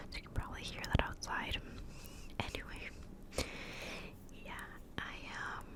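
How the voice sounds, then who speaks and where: A woman whispering a rambling ASMR catch-up close to the mic, a little congested from a cold, with lots of fillers. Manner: rambling, many fillers and restarts. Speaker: ASMR creator. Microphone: close-up microphone.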